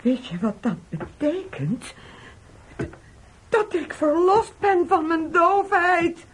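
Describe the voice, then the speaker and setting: A pious, scolding old wife in a radio drama. She exclaims slowly and devoutly with big swoops in pitch, on a faintly noisy old recording. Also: very animated, exaggerated voice. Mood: joyful, amazed. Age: older.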